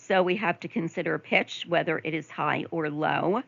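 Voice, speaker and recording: A woman instructor giving a conversational recorded lecture over slides, with frequent ums, asides, and throat clearing. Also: deep voice, unhurried, no echo, lo-fi sound. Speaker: nursing instructor.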